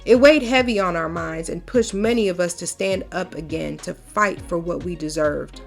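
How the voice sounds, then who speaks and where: A woman's voice: fairly low pitch, normal speed, gravelly, soft background music. A woman reading a storybook as a proud, folksy mother who recounts wartime history in an emphatic, conversational first person. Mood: indignant, resolute. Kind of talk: storybook read-aloud, first-person narration.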